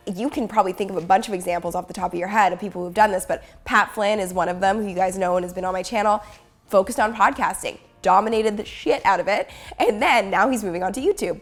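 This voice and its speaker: A woman in her thirties with a hoarse voice from a cold, talking upbeat and chatty straight to her viewers in a home vlog. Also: medium pitch. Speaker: YouTuber and business coach. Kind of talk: casual talking-head vlog.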